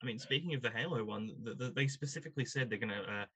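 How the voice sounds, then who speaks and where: A man's voice: medium pitch, fast talker, sharp tone, husky. Man hosting an opinionated panel chat. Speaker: podcast host.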